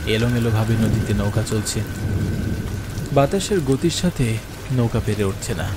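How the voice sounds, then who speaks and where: A man's voice: lowish voice, expressive, normal speed. Theatrical male horror narrator over rain. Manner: theatrical, earnest. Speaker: story narrator. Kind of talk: dramatic scripted first-person horror story narration. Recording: clear rain noise behind the voice. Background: falling rain.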